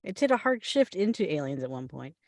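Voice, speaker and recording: A woman's voice: fairly low pitch, sing-song, a little fast, a little mellow, no echo, clean studio sound. A woman in her forties chatting casually and telling stories in a group conversation. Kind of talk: casual group chat about television.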